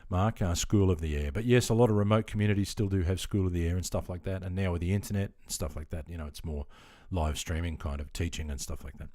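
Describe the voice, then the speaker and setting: A man's voice: sits low, fairly even intonation, fast talker, warm tone, no echo, studio quality. A middle-aged Australian man chatting casually on a live stream, easygoing and full of ums, answering viewers and calling them mate. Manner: easygoing and chatty, filler-heavy, keeps calling people mate.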